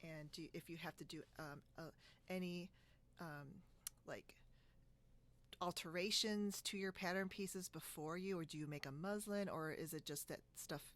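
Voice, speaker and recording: A woman hosting a podcast in a casual video-call chat, talking in a halting, giggly, chatty way. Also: on the low side, a bit bright. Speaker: podcast host. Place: indoors on a split-screen video call.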